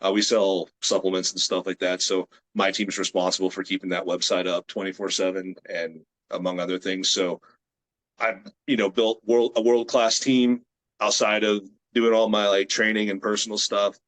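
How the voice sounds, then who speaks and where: A man in his late thirties, a grip strength athlete from the United States, chatting as a guest on a video call in a relaxed, rambling way with many fillers. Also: low voice, normal speed, poor audio. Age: 30s. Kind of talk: casual interview chat.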